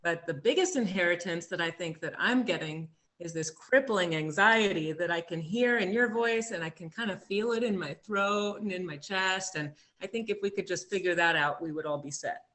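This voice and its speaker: Earnest, exasperated adult daughter played by a woman, slightly low voice, staged-reading drama. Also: moderate pace, hardly any pauses, a bit bright, close to an everyday voice. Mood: earnest, anxious. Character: earnest adult daughter reasoning with her anxious father.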